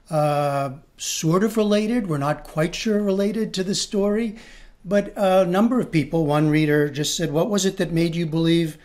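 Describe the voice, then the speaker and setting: Older guy news host on a video call, higher voice, measured, reading out viewer questions. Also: darkish tone. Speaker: news interviewer and book club host. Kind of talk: broadcast interview. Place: home room with bookshelves.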